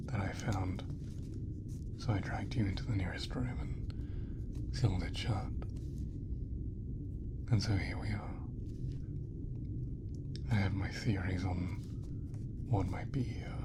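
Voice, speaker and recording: Man acting a low, whispering gentle sci-fi caretaker. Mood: gentle, reflective. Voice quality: breathy whisper. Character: gentle, thoughtful male caretaker in a sci-fi world. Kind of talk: whispered ASMR roleplay.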